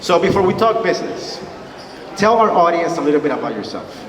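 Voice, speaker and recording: A man's voice: fairly high pitch, big pitch swings, a little fast. A man with a non-native English accent hosting an onstage interview, introducing the guest and asking short, friendly questions. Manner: friendly, brief prompts and thanks. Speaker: event host, interviewer. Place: large expo hall. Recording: echoey hall, mic far off, crowd noise.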